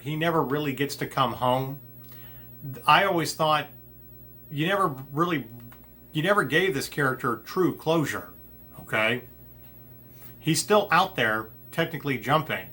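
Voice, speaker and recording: A man hosting an entertainment show, talking casually to his audience in a halting, conversational way, often repeating phrases. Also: mid-range voice, pushed and bright. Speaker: host of an entertainment news show. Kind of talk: casual opinion talk to the audience.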